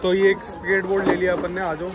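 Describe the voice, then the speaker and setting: A man's voice: sits high, flat delivery, fast talker. A young man vlogging casually, chatting and haggling to camera as he shops a busy night market. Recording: crowd noise of a street market.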